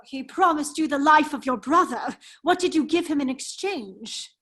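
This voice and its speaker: A woman's voice: sits high, normal speed, fairly bright tone. A woman playing a foppish, teasing English nobleman who dithers and exclaims in an affected drawl, in a radio play table read. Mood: cool, reproachful.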